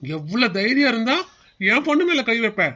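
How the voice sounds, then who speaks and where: A man's voice: very high-pitched, sing-song, moderate pace, exaggerated voice. A man voicing a stern father who scolds his family in a cartoon. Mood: angry. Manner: shouting and scolding.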